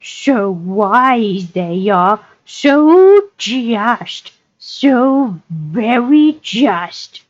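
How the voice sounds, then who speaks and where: A woman voicing a wretched, cringing creature in a storybook reading, whimpering and pleading in drawn-out, repetitive phrases. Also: fairly high pitch, very animated, no room sound, dull audio, voice pushed up, heavily acted. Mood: pitiful, whining. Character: wretched whining creature, cringing, hungry and evasive. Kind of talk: audiobook-style character reading.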